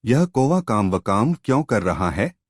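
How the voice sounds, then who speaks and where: A man's voice: lowish voice, very animated, a little slow, dry recording, studio quality. A man voicing a curious, puzzled king in a storybook tale, asking questions in an astonished way.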